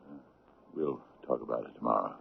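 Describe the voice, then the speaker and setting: An extremely deep, creaky older man's voice, a mourning father who is gentle and worn out. He speaks hesitantly, in old radio-drama style on a narrow, muffled recording. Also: a little hiss or hum, heavily acted. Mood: weary. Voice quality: gravelly. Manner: hesitant, soft-spoken, halting. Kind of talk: 1970s radio drama acting. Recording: muffled 1970s radio broadcast.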